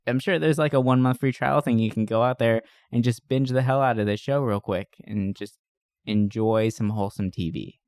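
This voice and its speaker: Guy podcast host, mid pitched, chatty and jokey, no room sound. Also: moderate pace, darkish tone, clean tone, no room sound, studio quality. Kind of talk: casual podcast chat.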